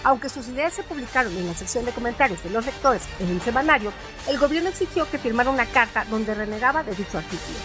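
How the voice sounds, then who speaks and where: A woman hosting a radio show, reading a scripted literary analysis in a measured, formal way. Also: on the high side, expressive. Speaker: radio host. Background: music bed.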